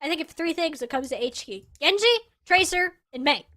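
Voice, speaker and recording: A woman's voice: high voice, lively intonation, brisk, pushed and bright. A woman giving live esports commentary and casual game analysis, opinionated and colloquial in how she talks.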